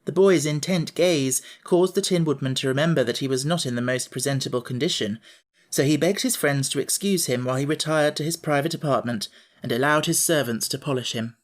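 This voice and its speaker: A man narrating a classic children's audiobook in a measured, even storytelling manner. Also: fairly high pitch.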